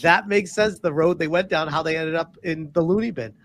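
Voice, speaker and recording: A man's voice: a little high, a little fast, a loud crowd. A man who streams, chatting on a panel in a laid-back way and swearing freely. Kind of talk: casual panel chat.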